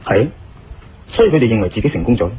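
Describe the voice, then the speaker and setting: An actor playing a thoughtful, curious male investigator in a Cantonese audio drama, with a Hong Kong accent and expressive, earnest delivery. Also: fairly low pitch, fast talker, slight background noise. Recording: slightly muffled radio drama, music underneath.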